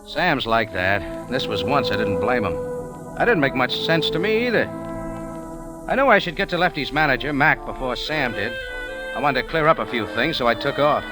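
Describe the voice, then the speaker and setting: A man's voice: mid-range voice, lively intonation, moderate pace, close to an everyday voice, rough recording. An old radio drama with music behind and a man playing a hard-boiled American narrator. He is wry and clipped, and his mid-range voice moves up and down with a lot of swing. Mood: terse, grim. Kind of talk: 1940s radio detective drama acting and narration.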